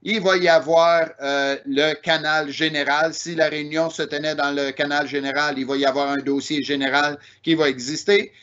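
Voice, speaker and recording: A man with a Québécois French accent walks through software features in a steady, explanatory way, often pausing on "euh" and "ben". His voice sits a little high for a man. The audio is muffled and lo-fi, like a video call. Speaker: presenter. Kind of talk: online technical presentation.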